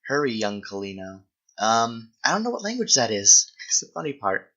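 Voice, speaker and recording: A young man with a bright, forward voice at an ordinary male pitch talks in a casual, rambling way, as if answering viewers while gaming. He is hesitant and often corrects himself mid-thought. The recording is slightly dull. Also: sing-song, moderate pace. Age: young adult. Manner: hesitant, self-correcting, thinking aloud.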